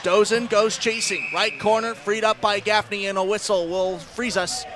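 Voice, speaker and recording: High-pitched man calling hockey play-by-play. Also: steady tone. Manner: rapid descriptive calls, raised voice on action. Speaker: play-by-play sports announcer. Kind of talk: live radio ice hockey commentary.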